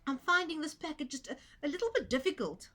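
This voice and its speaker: Storybook piglet, young and male, chirpy and hopeful, sailing up high; woman reader doing the voice. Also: fast talker, full character voice. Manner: bright, breathless excitement.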